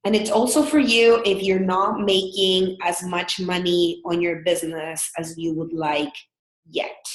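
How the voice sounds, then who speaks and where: A woman's voice: on the low side, fairly even intonation, a little slow, roomy sound, low-quality recording. Salvadoran woman presenting a business webinar. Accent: Salvadoran Spanish. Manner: earnest, candid, with frequent fillers. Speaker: business consultant.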